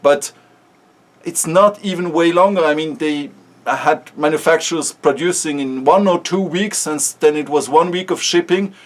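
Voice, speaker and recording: Swiss-accented man, casual vlog monologue, direct and earnest, voice sits fairly high. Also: moderate pace. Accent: Swiss German. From Switzerland. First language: Swiss German. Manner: earnest, frank, with restarts. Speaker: maker sharing experience.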